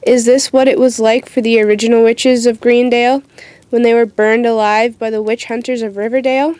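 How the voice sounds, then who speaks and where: A woman's voice: a little high, level tone, a little fast, darkish tone, no room sound, lightly acted. A woman playing a frightened teenage witch who tells her own story in a dramatic, youthful way for an audio drama. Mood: dawning dread. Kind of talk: first-person narration in an audio drama.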